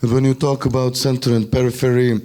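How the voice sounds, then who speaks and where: A man's voice: mid-range voice, little pitch movement, quick pace, mellow. A man with a Bosnian accent sharing wry, ironic remarks in a group discussion, sometimes searching for words. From Bosnia and Herzegovina. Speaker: festival workshop participant.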